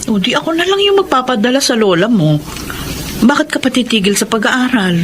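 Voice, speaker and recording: A woman's voice: fairly high pitch, sing-song, brisk, a little hiss or hum. An actress playing a remorseful middle-aged mother in an emotional radio drama, coaxing and emotive. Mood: worried.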